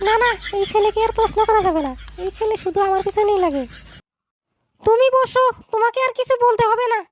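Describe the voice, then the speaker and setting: A woman voicing a strict scolding schoolteacher, very high. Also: fairly quick, no echo. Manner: scolding, lecturing. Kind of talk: cartoon dub acting.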